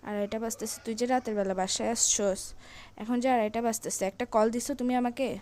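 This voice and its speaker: A high-voiced young girlfriend acted by a woman with a Bangladeshi accent grills her partner in a comedy phone call. She talks quickly, scolds and keeps pressing the same questions with angry suspicion. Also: steady tone, a little mellow, natural voice. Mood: annoyed, suspicious. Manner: scolding, interrogating, repeats questions insistently.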